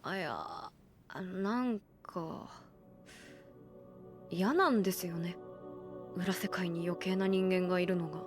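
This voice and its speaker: A woman's voice: fairly low pitch, slow, pauses often, faint music behind. An actress playing a guarded, blunt young woman in an anime audio drama, speaking politely and a little hesitantly. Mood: hesitant, defensive. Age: young adult.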